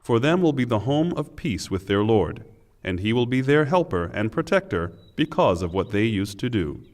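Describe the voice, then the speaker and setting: A man narrating a scripted scripture translation in a measured, formal and solemn manner. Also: medium pitch, big pitch swings, darkish tone. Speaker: narrator reading Quran translation.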